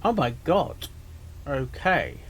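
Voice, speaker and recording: A man's voice: a little high, animated, slow pace, slightly rough. A man giving casual reaction commentary, rambling and often repeating himself as he thinks aloud. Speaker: reaction video host.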